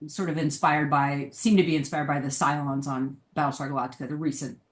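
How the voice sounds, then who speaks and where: A low-voiced woman chats as a guest on a panel show. She gives her opinions in a measured, thoughtful way, and the room around her echoes noticeably. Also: steady tone. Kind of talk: casual panel discussion. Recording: roomy, echoing sound.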